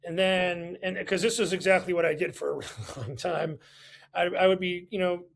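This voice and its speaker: A man's voice: high-pitched, normal speed, creaky, slight background noise. A man in his thirties telling personal stories candidly in casual conversation, blunt and prone to swearing, as a guest on a podcast. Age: 30s. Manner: profane, blunt.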